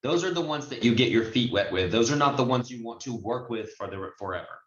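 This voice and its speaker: A man's voice: mid-range voice, expressive, a little fast. A man giving an informal online training lesson, explaining step by step in an emphatic, conversational way as a trainer. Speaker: notary trainer and host. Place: indoors, online video call with screen share. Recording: muffled, roomy video-call audio.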